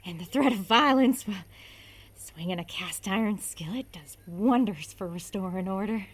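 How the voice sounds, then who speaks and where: An actress playing a frazzled cook who has gone dazed and eerily calm. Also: female voice, medium pitch, normal speed, slightly rough, lightly acted. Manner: dazed, dreamy and unsettled. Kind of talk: audio drama acting.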